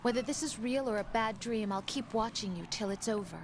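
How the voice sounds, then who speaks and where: A woman's voice: a little high, fairly quick, a little hiss or hum, close to an everyday voice. A woman playing a devoted, reassuring young woman in a video game. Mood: earnest.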